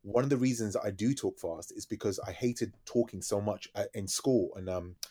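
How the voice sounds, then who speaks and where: Male DJ guest, rapid animated storytelling, slightly low voice. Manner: fast-talking, animated storytelling. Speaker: DJ and radio host, podcast guest. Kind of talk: casual interview chat.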